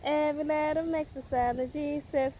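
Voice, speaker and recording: High-pitched young mother, outdoors. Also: steady tone, moderate pace, dark tone. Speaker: mother teaching her toddler phonics. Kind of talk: casual vlog and simple lesson to a small child. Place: outdoors in a park. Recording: outdoor recording with background noise. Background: outdoor ambient noise.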